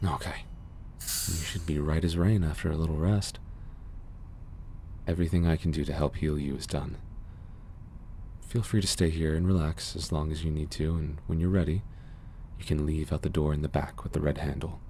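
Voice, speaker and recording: Casting: male actor as mysterious sci-fi ASMR doctor, extremely low and mellow, soothing, measured, guarded. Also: fairly even intonation, a little hiss or hum. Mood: calm, discreet. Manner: gentle, measured, formal, reassuring. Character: mysterious, calm, guarded underworld cyberpunk doctor, man. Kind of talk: ASMR roleplay, soothing scripted monologue.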